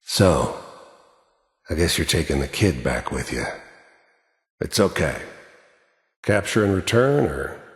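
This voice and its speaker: An actor playing a hardened, cynical middle-aged mercenary in a video game, with an American accent, a gruff voice and a terse, sardonic, menacing delivery. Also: male voice, rumbling low, very animated, takes it slowly, long pauses, heavily acted. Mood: curt, businesslike. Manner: terse, matter-of-fact, sardonic. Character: hardened cynical male mercenary, cold, menacing, world-weary. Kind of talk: video game character monologue and dialogue. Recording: echoey hall-like reverb.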